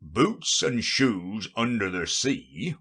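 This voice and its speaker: A man voicing a solemn, impatient male gryphon, speaking slowly in a deep, husky voice. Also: very animated, pushed and bright, heavily acted. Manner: slow and solemn, turning impatient.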